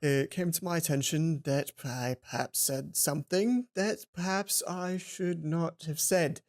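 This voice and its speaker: A let's play host voicing a haughty, awkwardly formal schoolboy from a visual novel, halting and drawn out. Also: mid-range voice, lightly acted. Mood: grudging, stilted.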